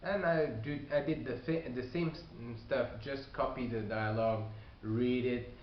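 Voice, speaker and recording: A man who is not a native English speaker, giving casual advice on learning a language, talking haltingly with many fillers and restarts. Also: medium pitch, steady tone. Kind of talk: casual video response. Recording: echoey room, dull lo-fi sound, faint hiss.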